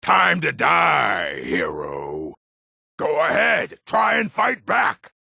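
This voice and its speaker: An actor playing a savage orc bandit in a video game, snarling out shouted battle threats. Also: male voice, fairly high pitch, wildly swooping pitch, extremely slow, gravelly, slightly rough, no echo, studio quality, full character voice. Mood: savage rage. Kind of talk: video game character acting.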